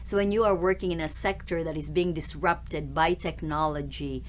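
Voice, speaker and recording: A middle-aged woman from the Philippines, a university president, answering interview questions in a measured, articulate way. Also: low-pitched, steady tone, slight background noise.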